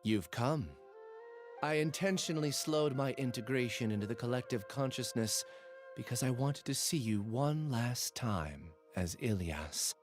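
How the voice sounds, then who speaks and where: Expressive, earnest young male scholar acted by a man. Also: medium pitch, unhurried, soft background music, lightly acted. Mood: solemn, resigned. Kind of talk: dramatic game voice acting.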